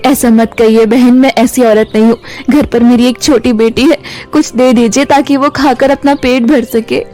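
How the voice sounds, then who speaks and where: A woman's voice: fairly high pitch, fairly even intonation, moderate pace, warm tone, faint music behind, natural voice. A woman voicing a poor, humble mother pleading in a cartoon fairy tale.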